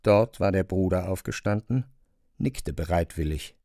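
A man's voice: low voice, animated, unhurried, warm tone, dry recording, studio quality. A man reading scripted literary narration as the narrator of a drama.

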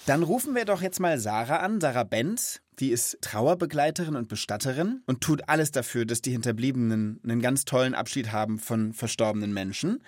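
A man's voice: mid-range voice, animated, a little fast, hardly any pauses, no echo, studio quality. A man hosting a children's podcast, talking and asking questions in a conversational way. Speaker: podcast host.

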